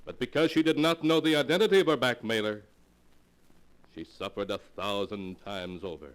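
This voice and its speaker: A man's voice: lowish voice, normal speed. A man narrating in the melodramatic scripted style of a 1940s radio announcer, on an old recording.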